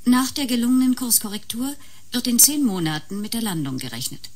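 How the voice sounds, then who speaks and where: An actress playing a formal news announcer, reading a measured bulletin in a science-fiction radio drama. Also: female voice, fairly high pitch, big pitch swings, darkish tone.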